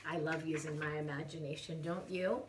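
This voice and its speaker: A woman reading a children's storybook aloud in an animated way, as if speaking to young children. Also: deep voice, normal speed, distant mic, background noise. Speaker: children's story-time reader. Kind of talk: expressive storybook read-aloud. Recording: echoey room, away from mic.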